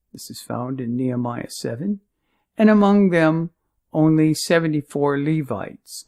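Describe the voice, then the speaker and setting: A man's voice: mid-range voice, vocal fry, darkish tone. A man reading a scripted narration of Bible history as a narrator.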